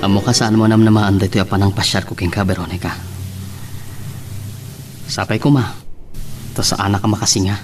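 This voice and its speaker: A man's voice: on the low side, flat delivery, brisk, long pauses, close to an everyday voice. A man playing a lovestruck, brooding young suitor in a radio drama, with a Filipino accent. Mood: pleading, lovelorn. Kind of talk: Ilocano radio romance drama acting.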